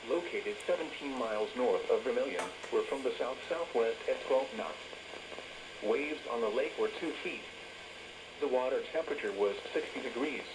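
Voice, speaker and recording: An automated male voice with an American accent reads a scripted weather bulletin over the radio in a flat, measured, synthetic way. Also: medium pitch. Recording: noisy weather radio broadcast, strong static.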